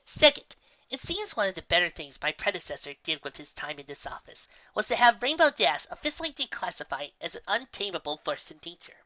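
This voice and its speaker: A woman's voice: on the low side, sing-song, fairly quick, sharp tone, no room sound, dull audio, pitched above the performer's own voice. A woman voicing a smug, dismissive unicorn bureaucrat in a storybook, lecturing in a pompous, officious way.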